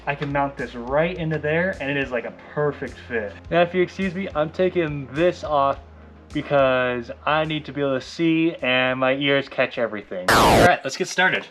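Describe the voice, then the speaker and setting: A man's voice: mid-range voice, moderate pace, fairly bright tone. A man giving a casual, unscripted how-to explanation as a vlogger, in a loose, informal and slightly hesitant way. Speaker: vlogger presenting a DIY tutorial. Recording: very echoey, distant mic, faint music.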